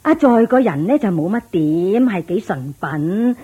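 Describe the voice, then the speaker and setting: A woman playing a blunt, doubtful older village matchmaker in a radio drama, sighing and lecturing in drawn-out Hong Kong Cantonese phrases. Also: mid-range voice, animated, breathy edge. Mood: reasoning. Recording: dull lo-fi radio drama with music.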